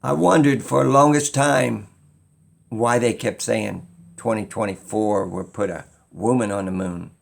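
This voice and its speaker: Slow-talking man with a gravelly, creaky voice. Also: lowish voice, steady tone. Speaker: video creator. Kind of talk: unscripted opinion monologue.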